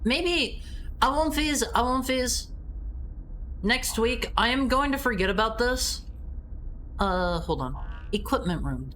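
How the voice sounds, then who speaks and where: A woman's voice: on the high side, animated, slow pace, pauses often, fairly bright tone, slight background noise. A woman streaming a game, chatting casually and calling out short commands.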